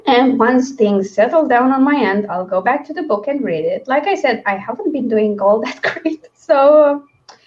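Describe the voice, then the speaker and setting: A woman's voice: a little high, lively intonation, normal speed, darkish tone, lo-fi sound. A woman hosting a casual book stream, chatting in a rambling, giggly way. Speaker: host of a book read-along stream.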